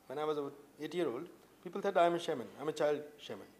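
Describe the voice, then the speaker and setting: A man in his late thirties from India, with an Indian accent, answering interview questions earnestly with many halting fillers and restarts. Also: on the high side, a little mellow. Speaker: poet guest. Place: indoor room with tapestries and a sofa.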